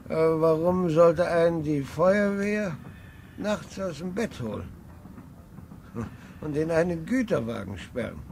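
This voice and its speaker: A man's voice: on the high side, lively intonation, measured pace, vocal fry, a little mellow. A very old rambling grandfather. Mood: musing. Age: older. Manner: wavering, musing, repeats old memories. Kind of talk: 1950s radio play acting. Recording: noisy old 1950s radio tape.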